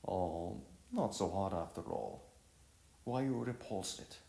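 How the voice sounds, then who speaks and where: A man voicing a gentle, caring, inquisitive girl in a narrated story, soft and halting. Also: sits low, expressive, gravelly, roomy sound, exaggerated voice. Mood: questioning.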